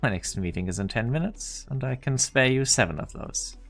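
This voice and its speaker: A man's voice: medium pitch, animated, brisk, vocal fry, faint music behind. A man playing a busy, condescending company director in an amateur game fan dub, with a clipped manner. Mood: curt, impatient.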